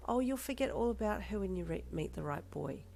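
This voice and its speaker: A woman voicing a pushy, easily upset middle-aged mother who coaxes softly and then turns shrill. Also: expressive, a little fast, creaky. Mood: coaxing. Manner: soft and coaxing, turning shrill and gasping.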